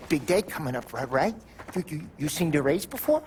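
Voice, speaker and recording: A man's voice: on the high side, lively intonation, fairly quick, natural voice. An actor voices a jittery young mob errand man who stutters through every few words. A faint noise sits behind the game cutscene.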